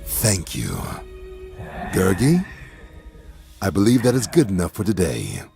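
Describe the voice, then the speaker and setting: A man's voice: sits low, huge pitch swings, unhurried, creaky, warm tone, faint music behind, heavily acted. A man playing a courteous, careful fantasy warrior in an audio drama, formal and polite.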